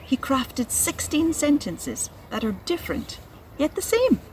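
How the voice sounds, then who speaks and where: A woman speaking as an educator to young children, narrating and reading poetry in an expressive, deliberate way. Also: high-pitched, slight background noise. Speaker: nature educator and poetry reader.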